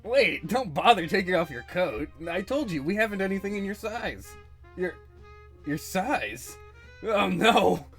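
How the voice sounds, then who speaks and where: A man plays a jittery comic-book bystander, stammering and easily alarmed. His exclamations have animated pitch, with faint music behind. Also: measured pace, full character voice. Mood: startled.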